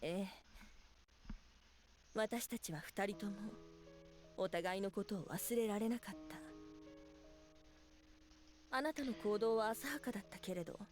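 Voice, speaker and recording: An actress in a Japanese visual novel playing a cold, composed female fox spirit with a measured, restrained delivery. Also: mid-range voice, close to an everyday voice. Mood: resigned, solemn. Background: background music.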